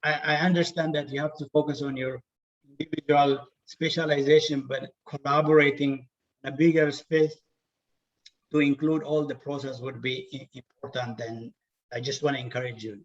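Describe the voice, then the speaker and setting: A man from Ethiopia with an Ethiopian accent giving an earnest, somewhat halting spontaneous comment in an online session. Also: medium pitch, level tone. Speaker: audience participant, water resource engineer. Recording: distant, dull video call audio.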